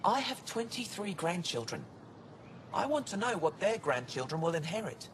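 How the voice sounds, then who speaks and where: Brusque older alien scientist, lively pitch. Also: male voice, moderate pace. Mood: grave, reflective. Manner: curt, formal, precise. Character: brusque older male alien biologist, a proud grandparent. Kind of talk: video game voice acting. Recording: clearly noisy game ambience.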